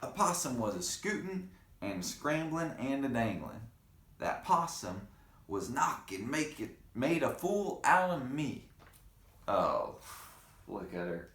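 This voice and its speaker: A man's voice: mid-range voice, very animated, slow pace, fairly bright tone. A man reading a picture book aloud to children in a playful, dramatic way, with chatty asides to the kids. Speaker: read-aloud storyteller for children. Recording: roomy, echoing room sound.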